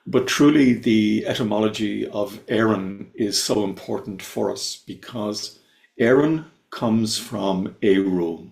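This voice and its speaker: Gravelly Irish man, slow and reverent. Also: lowish voice, a little mellow. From Ireland. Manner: measured, heartfelt, lingers on key words. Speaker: invited speaker. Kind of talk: slow, reverent spiritual talk. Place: his home, on a video call. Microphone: video call. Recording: echoey room over video call.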